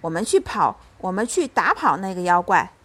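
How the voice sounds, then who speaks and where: A woman's voice: lowish voice, big pitch swings, moderate pace, breathy edge, pitched below the performer's own voice. A woman voicing a young mother in a children's storybook, tender with her child and fiercely protective, by turns coaxing and commanding. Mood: fierce, roaring.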